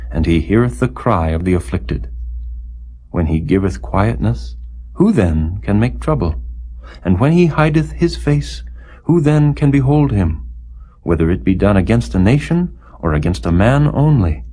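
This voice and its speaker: A man reading scripture aloud as an audiobook narrator, in a solemn, measured, formal, declamatory manner. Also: on the low side, mellow, husky. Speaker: audiobook Bible reader.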